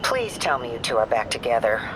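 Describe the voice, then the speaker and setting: An actress voicing an older, caring aunt who speaks gently and affectionately. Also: female voice, a bass voice, very animated, fairly quick, background noise.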